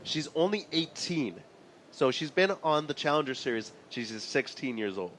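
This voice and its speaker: A man commentating live sport, steady and informative as he reads scores and clock times. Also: fairly high pitch, moderate pace, slight background noise, low-quality recording. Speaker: play-by-play commentator.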